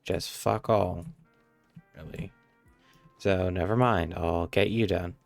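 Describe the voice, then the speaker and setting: A male game streamer with a lowish voice that often drops into fry. He mutters casual live commentary to himself in a dry, close recording, with faint game music behind him. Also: steady tone, takes it slowly, dry recording.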